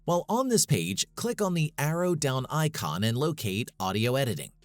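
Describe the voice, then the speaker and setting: A man's voice: medium pitch, very animated, normal speed, no echo. A man reading a scripted tutorial as its host, in an instructional, step-by-step manner. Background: background music.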